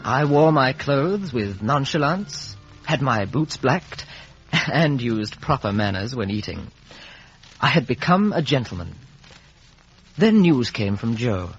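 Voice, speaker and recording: An earnest young gentleman narrator from a vintage radio drama. He speaks in a mid-pitched, slightly husky voice, measured and polite. The recording is dull and old. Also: sing-song, faint music behind, exaggerated voice. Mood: reflective.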